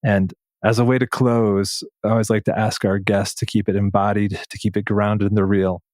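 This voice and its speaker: Low-pitched male podcast host, dry sound. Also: flat delivery, moderate pace, a little mellow, no room sound. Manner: appreciative, earnest. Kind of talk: conversational interviewing.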